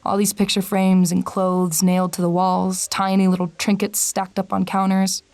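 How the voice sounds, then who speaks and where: An actress playing a wary, sardonic traveler in an audio drama, speaking in a dry, skeptical way. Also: female voice, medium pitch, completely flat delivery, moderate pace, darkish tone, no room sound, natural voice. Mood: wry, observant. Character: Amber, wary sardonic traveler narrating a strange road trip. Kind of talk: audio drama dialogue and first-person narration. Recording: music bed behind voice.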